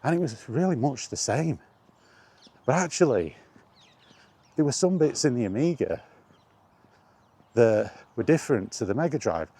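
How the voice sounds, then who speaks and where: A man's voice: medium pitch, mellow. A man talking in a casual, rambling monologue, reminiscing and thinking aloud as he goes. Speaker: host of a gaming chat vlog.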